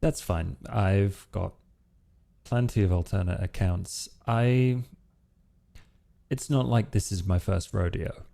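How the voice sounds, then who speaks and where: A man's voice: low-pitched, takes it slowly, gravelly, long pauses, dark tone, full character voice. A man playing a guarded, self-assured vampire hacker in improvised role-play, understated and stubborn, with hesitant phrasing.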